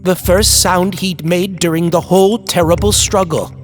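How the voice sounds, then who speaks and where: A man narrating a scripted horror tale like an old-time radio host, theatrical, ominous and drawn-out. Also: sits high, steady tone. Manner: ominous, drawn-out, dramatic delivery. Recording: music under the voice.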